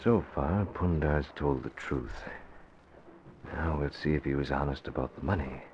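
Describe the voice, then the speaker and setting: An actor playing a tough, relentless masked vigilante with forceful, clipped delivery in 1940s radio drama style. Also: male voice, very deep voice, normal speed, slight background noise. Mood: cool, commanding. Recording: dull vintage 1940s radio recording.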